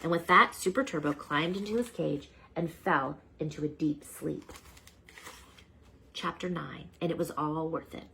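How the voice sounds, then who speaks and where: A woman reading a children's storybook aloud as an animated storyteller. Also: low voice, a little slow, vocal fry, breathy edge. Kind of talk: expressive read-aloud narration.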